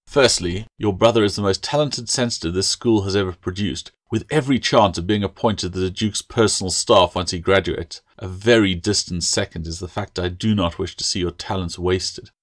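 A man's voice: low voice, moderate pace, hardly any pauses. A man voicing a stern, pompous older schoolmaster with a snapping, sarcastic manner, in an audiobook fantasy tale. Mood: mocking, severe.

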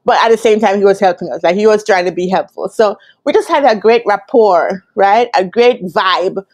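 A woman's voice: medium pitch, normal speed, darkish tone. A woman in her thirties with a Jamaican accent, telling a personal story to camera in an emphatic, conversational way. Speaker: career coach telling her own story. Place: indoor room with curtains. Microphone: lapel mic.

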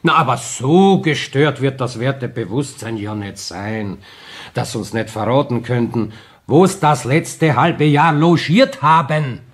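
An actor playing a stern, sarcastic police interrogator in a radio docudrama, with a Viennese accent and a pressing manner. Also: male voice, mid-range voice, measured pace, close to an everyday voice. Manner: pressing, sardonic, colloquial. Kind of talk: radio crime docudrama acting.

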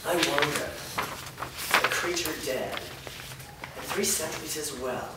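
An actor playing a prissy, menacing henchman of a dark master in a staged fantasy reading, haughty, insinuating and deliberate. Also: fairly high pitch. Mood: suspicious. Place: indoor performance room before a small audience. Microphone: far camera. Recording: echoey hall, distant mic, noisy.

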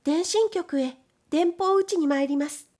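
Earnest young girl, voiced by a woman reader, high pitch, deferential, careful, hesitant, storybook heroine. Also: unhurried, a little mellow. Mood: polite, dutiful. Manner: deferential, careful, formal politeness. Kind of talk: audiobook character reading.

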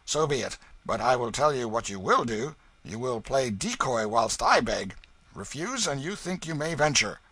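A man's voice: mid-range voice, lively intonation, normal speed, bright, forceful tone, dry recording, voice pushed up. A man voicing a wily, bullying beggar-thief in a storybook, blustering and cajoling in archaic rogue's speech. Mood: bossy, hot-tempered.

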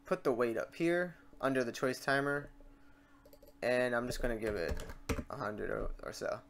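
A man's voice: medium pitch, normal speed, vocal fry, background noise. Casual man rambling through a tutorial. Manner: rambling, talks through mistakes as he works. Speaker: tutorial host. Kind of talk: casual screen-recorded software tutorial.

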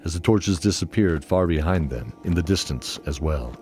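A man's voice: low-pitched, expressive, moderate pace, darkish tone, a little hiss or hum. A man narrating a fantasy audio drama as scripted storytelling. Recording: music under the voice. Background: music.

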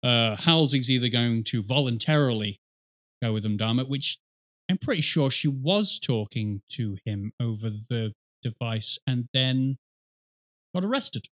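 A man's voice: medium pitch, animated, a little slow, fairly bright tone. A middle-aged man giving casual gaming commentary as a reaction host, rambling, sarcastic and sweary, close on the mic in a small room.

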